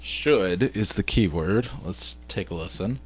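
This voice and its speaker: A man's voice: low voice, expressive, unhurried, music in the background. A man narrating a casual screen-share vlog, informally thinking aloud as he works through tasks on the computer. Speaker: vlogger and video editor.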